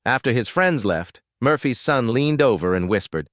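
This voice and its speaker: A man's voice: medium pitch, no echo, clean studio sound. A man reading a string of jokes aloud, shifting his voice for the people in them. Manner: lively storytelling, quick shifts into character voices. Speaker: joke teller.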